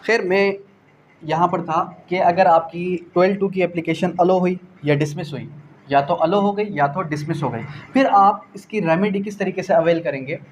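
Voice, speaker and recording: A man's voice: high-pitched, normal speed, a little hiss or hum. A man with a Pakistani accent giving an explanatory law lecture, didactic, posing questions and answering them, mixing in English terms. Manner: code-switching between Urdu and English legal terms. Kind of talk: explanatory exam-prep lecture.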